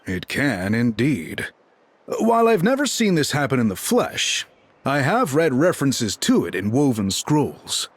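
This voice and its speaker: Learned game chief, composed, deliberate, scholarly, husky, wide-ranging intonation. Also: male voice, mid-range voice, wildly swooping pitch. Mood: measured, grave. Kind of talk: measured video game dialogue, expository.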